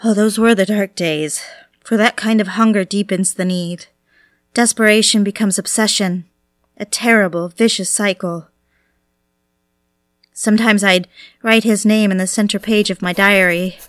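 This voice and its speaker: An actress playing a desperate, obsessive mistress in an audio drama, with a needy, confessional manner. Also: female voice, medium pitch, steady tone, moderate pace, close to an everyday voice. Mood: wistful confession. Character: desperate, obsessive mistress pleading for her lover's attention.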